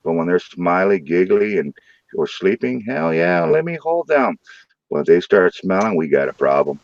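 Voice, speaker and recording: A man with a St. Louis accent hosting a late-night live stream from his room, joking loudly and swearing freely. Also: deep voice, very animated, moderate pace, creaky, no echo, dull audio. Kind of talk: casual live stream chat.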